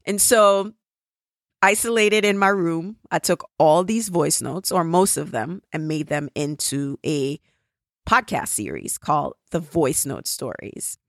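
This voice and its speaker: Podcast host, Jamaican woman, earnest casual appeal, slight vocal fry. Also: deep voice, unhurried, no echo, clean studio sound. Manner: earnest, grateful appeal for support. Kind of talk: casual solo podcast update.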